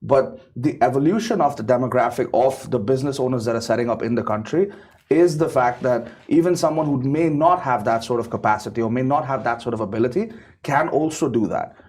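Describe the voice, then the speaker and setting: Husky man explaining business points. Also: mid-range voice, a little fast, darkish tone. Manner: repeats words, ends points with "right?". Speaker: business setup guest. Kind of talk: casual panel discussion.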